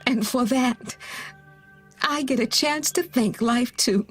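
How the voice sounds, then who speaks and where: An actress playing a gentle, grieving middle-aged mother who offers consolation in a naturalistic audio drama. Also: fairly high pitch, husky, soft background music. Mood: earnest, consoling. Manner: slow, gentle, earnest delivery with pauses. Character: grieving, consoling mother who lost her teenage daughter.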